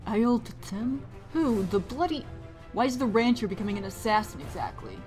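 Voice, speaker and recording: A woman playing a blunt, suspicious assassin officer in an animated fantasy series, brusque and sardonic in manner. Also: on the high side, normal speed. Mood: scornful. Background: music.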